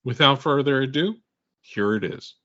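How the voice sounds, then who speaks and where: A man's voice: mid-range voice, moderate pace, a bit bright, dull audio. A man reading a scripted podcast introduction as its host.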